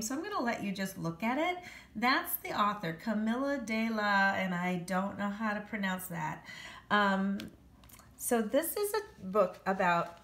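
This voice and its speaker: A woman's voice: mid-range voice, fairly bright tone, reverberant. An American woman teacher reading aloud to children, explaining and rephrasing facts as she goes. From United States. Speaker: elementary school teacher. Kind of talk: read-aloud lesson for children.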